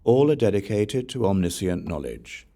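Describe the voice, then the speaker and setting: A man reading scripture aloud as an audiobook narrator, solemn and formal, in measured phrases that fall away at the ends. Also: lowish voice, sing-song, mellow. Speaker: audiobook reader.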